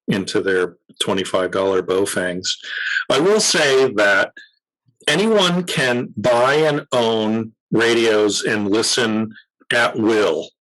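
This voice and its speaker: Man, low voice, interview guest, conversational expert explanation, measured, repeats for emphasis. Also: a bit bright, low-quality recording.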